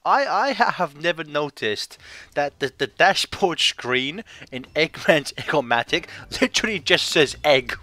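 A man giving comic ad-libbed game commentary in a mocking, animated manner. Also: fairly high pitch, a little slow.

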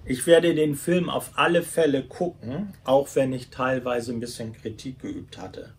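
A man giving a casual unboxing commentary in halting short phrases. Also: mid-range voice, a little hiss or hum. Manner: halting short phrases while handling items. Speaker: YouTube toy reviewer. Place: small furnished room at home, indoors.

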